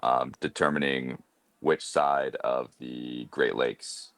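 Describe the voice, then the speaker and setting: A man's voice: extremely low pitch, steady tone, slow pace, fairly bright tone, no echo. A Canadian man in his thirties with a Midwestern accent, chatting casually as a guest and thinking aloud with lots of hesitant fillers. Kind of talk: casual conversation.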